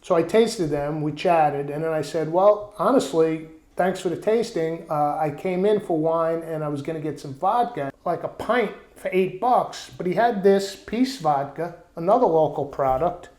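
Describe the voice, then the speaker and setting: A man's voice: on the high side, moderate pace, hardly any pauses, darkish tone, echoey room. A man hosting a casual home cooking vlog, chatting and rambling through stories. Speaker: cooking show host. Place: outdoor backyard kitchen.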